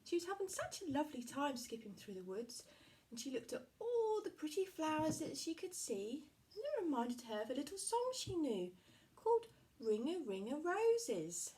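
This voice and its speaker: A woman reading a fairy tale aloud for children, with animated, sing-song storytelling. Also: sits high, normal speed, poor audio.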